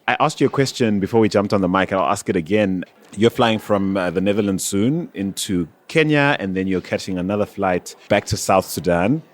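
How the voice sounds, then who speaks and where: A man's voice: low voice, expressive, fairly quick. A man hosting a podcast, moving between scripted narration and conversational interviewing. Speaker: podcast host.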